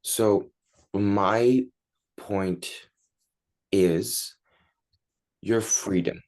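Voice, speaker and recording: A man's voice: fairly low pitch, fairly even intonation, drawn-out words, darkish tone. A teenage man from Turkey, answering interview questions in casual, chatty English. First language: Turkish. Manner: chatty, many likes and you-knows. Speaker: guest.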